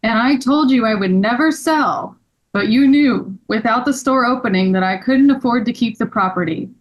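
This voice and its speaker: A woman's voice: on the high side, normal speed, muffled recording, lightly acted. A woman playing an earnest, wronged male store owner in a scripted table read. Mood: accusing.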